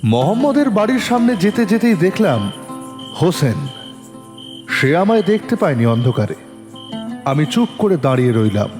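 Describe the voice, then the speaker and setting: A police detective , played by a man, recounts his investigation in an audio drama. His voice is fairly high and very expressive, shifting between storytelling and polite probing questions. It rings with long reverb over faint music. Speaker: narrator and lead actor of an audio story.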